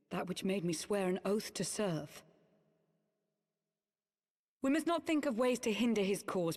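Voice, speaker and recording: A woman playing a resolute, loyal lady knight in a fantasy game, speaking formal archaic lines in a measured, earnest, eloquent way. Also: mid-range voice, a little mellow, natural voice. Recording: long hall reverb.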